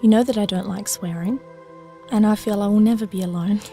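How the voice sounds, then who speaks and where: A woman's voice: mid-range voice, fairly even intonation, brisk, dark tone, faint music behind, close to an everyday voice. A young woman playing an earnest, idealistic, old-souled girl with formal wording in an audio drama. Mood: earnest, tender. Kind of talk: scripted audio drama dialogue.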